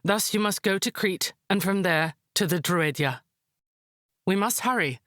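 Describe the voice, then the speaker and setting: A woman playing a proud, resolute high priestess, formal and composed, in a visual novel drama. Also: unhurried, a bit bright, no echo, studio quality, lightly acted. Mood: urgent, commanding.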